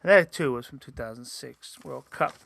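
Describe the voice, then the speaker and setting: A man's voice: medium pitch, normal speed, vocal fry, fairly bright tone, slight background noise. A man hosting a casual solo podcast, rambling with many fillers and restarts, his voice sometimes a little nasal. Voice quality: says he sometimes sounds nasal. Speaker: host.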